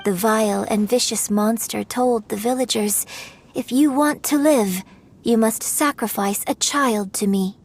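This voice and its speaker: An actress playing a graceful opera singer who recounts an old legend in a measured, theatrical way. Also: female voice, fairly high pitch, slight background noise. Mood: storytelling. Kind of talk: game voice acting.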